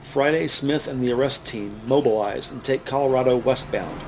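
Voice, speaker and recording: A man narrating a scripted crime drama in a measured, matter-of-fact way. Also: mid-range voice, noisy background. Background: music.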